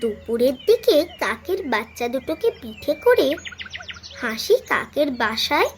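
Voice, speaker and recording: A woman's voice: sits high, sing-song, measured pace, slight background noise. A woman narrating a children's cartoon story in a scripted storytelling style.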